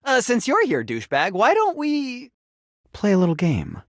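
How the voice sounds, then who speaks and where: Very animated, geeky game writer. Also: male voice, high voice, moderate pace, no room sound, clean studio sound. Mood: playful, chatty. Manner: chatty, enthusiastic, informal. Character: friendly, geeky, playful male game writer. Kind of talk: video game character voice acting.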